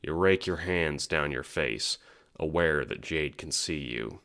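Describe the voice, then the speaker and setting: Male audio drama narrator, extremely low register, forceful edge, second-person storytelling. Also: lively intonation, measured pace, gravelly.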